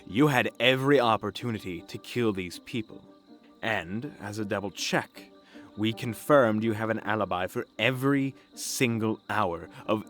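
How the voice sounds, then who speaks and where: A lowish male voice over music, a man acting as a stern, shrewd fantasy detective. He is deliberate and accusatory, with dry sarcasm, as in a scripted audio drama. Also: fairly bright tone.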